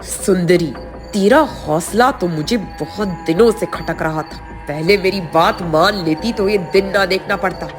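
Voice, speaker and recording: A cruel, arrogant village headman from a cartoon folk tale, acted by a woman narrator. He taunts with open menace over clear background music. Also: lowish voice, unhurried, pitched below the performer's own voice, natural voice. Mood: gloating.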